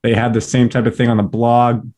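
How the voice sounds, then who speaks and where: An upbeat young man with a Californian accent, guesting on a podcast. He talks in quick, eager bursts, full of fillers and casual asides, sounding like he's recorded at home. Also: mid-range voice, monotone, a little mellow. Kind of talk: casual interview chat.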